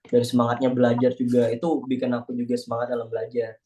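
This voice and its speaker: A man's voice: medium pitch, completely flat delivery, quick pace, mellow. A young man with an Indonesian accent chatting in a casual online class discussion, joking and self-deprecating. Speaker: class participant. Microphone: video call.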